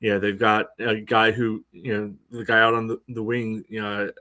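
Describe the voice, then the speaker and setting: Guy with a lowish voice breaking down sports, casual, stop-start phrasing, lots of filler. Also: flat delivery, sharp tone. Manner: halting, peppers speech with "you know". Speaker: sports podcast co-host. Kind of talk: casual sports analysis chat.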